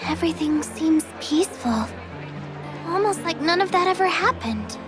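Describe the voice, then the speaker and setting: A woman voicing a gentle, sincere young girl hero in a game, with an earnest manner. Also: very high-pitched, lively intonation, moderate pace, music in the background. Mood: wondering. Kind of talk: English game dub cutscene.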